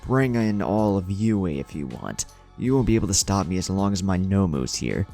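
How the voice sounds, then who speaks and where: A man's voice: deep voice, very animated, creaky, dark tone, soft background music, full character voice. A man voicing a deranged, vengeful male villain who rants and draws out his threats in an audio story. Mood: raging. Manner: ranting, drawn-out threats.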